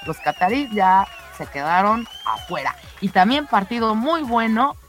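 A woman radio co-host runs through sports news in a conversational, emphatic manner. Light music plays behind her middling-pitched voice. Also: expressive, a little slow. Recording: music bed under the voice.

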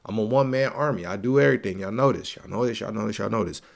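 A man's voice: lowish voice, very fast, creaky. Stuffy young man, gaming podcast host, slangy, repeats himself, hyped. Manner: slangy, repeats phrases for emphasis, hype-man energy. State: stuffy, congested. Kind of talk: casual solo gaming podcast.

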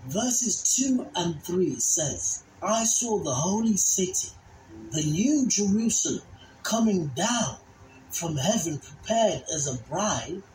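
A preacher reading scripture and teaching in a solemn, emphatic way, with deliberate phrasing. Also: mid-range voice, darkish tone, slight background noise. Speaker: Bible teacher and narrator. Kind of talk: dramatized scripture reading and sermon. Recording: echoey voice over background music.

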